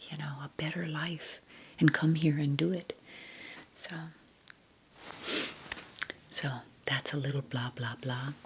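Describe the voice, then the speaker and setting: A middle-aged woman whispering softly into a close mic, rambling gently with fillers in an ASMR style. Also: low-pitched, slight background noise.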